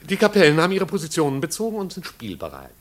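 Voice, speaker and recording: A man's voice: fairly high pitch, wildly swooping pitch, very fast. An actor playing a dry, deferential manservant who reports precisely and formally to his master, in 1950s German radio drama style. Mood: dry, dutiful.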